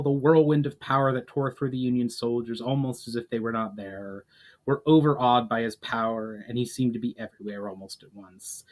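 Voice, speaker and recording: A man narrating a fantasy story as its author, in a measured, formal storytelling manner. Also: mid-range voice. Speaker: author reading own book. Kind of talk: dramatic audiobook-style narration of fantasy fiction.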